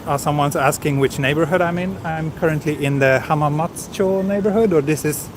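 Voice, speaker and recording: A man's voice: a little high, fairly quick. Chatty man on a street live stream. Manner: chatty, reads viewer comments aloud, hesitant fillers. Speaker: livestream walking vlogger. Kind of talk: casual live-stream chat. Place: outdoors on a quiet city street. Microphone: handheld camera with small recorder mic and wind cover. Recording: street noise around handheld mic. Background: city street ambience.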